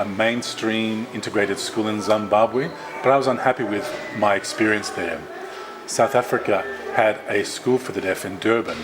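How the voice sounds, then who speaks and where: A man interpreting a signed interview aloud, voicing both the interviewer and the guest in careful, measured phrasing with small restarts. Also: lowish voice. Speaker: sign language interpreter voicing both sides.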